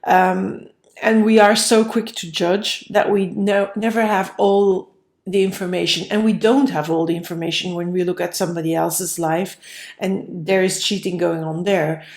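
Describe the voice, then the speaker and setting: A woman's voice: lowish voice, fairly even intonation, normal speed, creaky, a little mellow. A woman giving a casual tarot reading to viewers in a rambling, conversational way, repeating phrases for emphasis. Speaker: tarot reader, host. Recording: roomy, echoey room sound.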